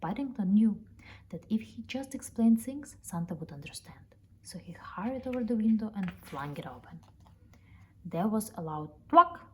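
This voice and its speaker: A woman reading a children's story aloud in an expressive storytelling style. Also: medium pitch, normal speed. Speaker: storybook reader. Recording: noticeable background noise, ambience.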